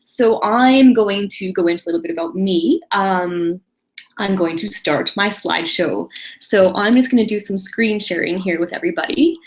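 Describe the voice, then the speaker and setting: A woman in her late thirties hosting a casual online webinar alone, chatty and self-deprecating, with quick laughs and apologies. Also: mid-range voice. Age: 30s. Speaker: webinar host. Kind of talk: casual solo webinar presentation.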